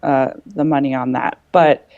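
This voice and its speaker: A woman's voice: extremely low pitch, steady tone, measured pace, darkish tone, no room sound, dull audio. A woman in a casual group conversation, explaining things earnestly as a panelist.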